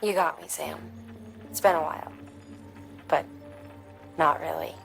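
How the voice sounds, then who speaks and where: An actress playing a calm, enigmatic scientist in a game, speaking in a measured, solemn way. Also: female voice, low voice, creaky, faint music behind, full character voice, low-quality recording. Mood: serene, cryptic. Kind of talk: video game dialogue.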